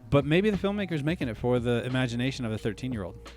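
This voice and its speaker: A man co-hosting a casual podcast chat, thinking out loud and hedging his theories. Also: medium pitch, sing-song, quick pace, soft background music. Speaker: podcast co-host.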